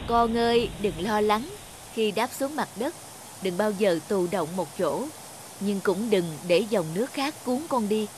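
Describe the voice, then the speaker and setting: A woman voicing a loving, wise cartoon mother raindrop in a soft, reassuring manner. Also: mid-range voice, measured pace, slight background noise, lightly acted, low-quality recording. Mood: tender, reassuring.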